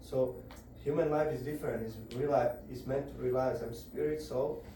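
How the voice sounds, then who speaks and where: A man giving a devotional scripture lecture to a group indoors, halting and searching for words as he explains. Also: medium pitch, fairly even intonation, warm tone, a little hiss or hum. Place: indoor gathering room. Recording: very echoey room, voice far off.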